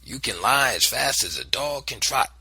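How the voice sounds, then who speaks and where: A man reading as a gruff elderly grandfather, scolding and stern. The voice is husky and sharp-edged. It is dry and close. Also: medium pitch, expressive, moderate pace, dry recording, faint music behind, heavily acted. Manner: thundering, scolding.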